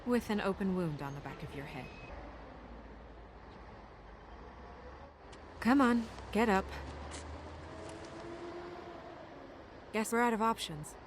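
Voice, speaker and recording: An actress playing an earnest, caring and troubled young woman official in a video game, speaking in a measured way. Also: medium pitch, lively intonation, noisy background, lightly acted. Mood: concerned. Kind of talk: cinematic video game voice acting. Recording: loud music behind the voice.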